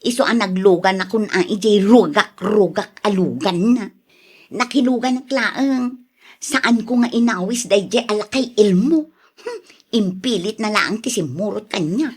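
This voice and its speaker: A woman's voice: medium pitch, unhurried, breathy edge. A woman acting an exasperated, sighing younger village woman in an Ilocano radio drama, with an Ilocano accent. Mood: annoyed, defensive.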